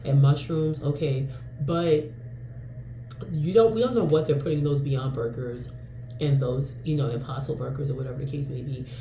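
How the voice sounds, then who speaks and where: A woman in her forties from New York chats to camera in a rambling, candid vlog style, full of ums and the occasional curse. Her voice is mellow and relaxed. The room around her rings with echo, and a faint hum sits underneath. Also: very deep voice, moderate pace. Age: 40s. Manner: rambling and frank, with frequent fillers and mild swearing. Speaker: vlogger. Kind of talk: casual talking-to-camera vlog.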